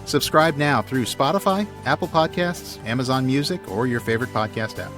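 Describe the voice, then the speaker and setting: Man co-hosting a podcast, mid-pitched voice. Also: moderate pace, music in the background. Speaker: podcast co-host. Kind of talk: casual banter and show sign-off.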